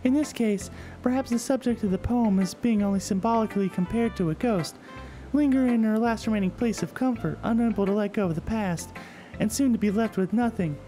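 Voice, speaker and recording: A woman's voice: medium pitch, fairly quick, dark tone, lightly acted, low-quality recording. A woman voicing a shy, bookish teenage girl poet in a visual novel, speaking hesitantly and earnestly. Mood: gently explaining. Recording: game music under the voice.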